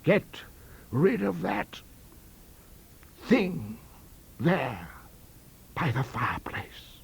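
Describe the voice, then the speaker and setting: A man's voice: mid-range voice, animated, unhurried, darkish tone, voice pushed up. A man voicing a fussy, delicate college master in a ghost story, with a hesitant, complacent, rambling manner. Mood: frightened.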